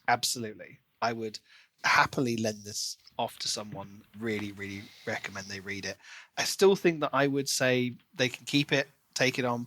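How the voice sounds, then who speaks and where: A man's voice: mid-range voice, pushed and bright, dry recording. A man co-hosting a podcast, talking casually and analytically through his thoughts on a book.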